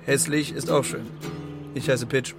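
A man's voice: mid-range voice, steady tone, unhurried, loud music behind the voice, close to an everyday voice. An actor playing a brash, streetwise, embittered male street pigeon in a children's radio play, with slangy, bossy talk. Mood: brash, sizing up.

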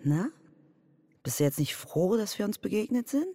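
An actress playing a provocative, mocking inner voice that needles a wife in a radio drama. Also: female voice, mid-range voice, sing-song, normal speed, warm tone, dry recording, studio quality. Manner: mocking, insinuating.

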